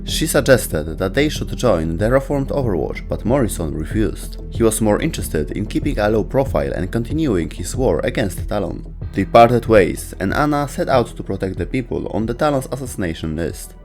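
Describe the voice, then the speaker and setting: Lively lowish-voiced man narrating. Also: normal speed, hardly any pauses, soft background music. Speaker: video essay narrator. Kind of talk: scripted narration.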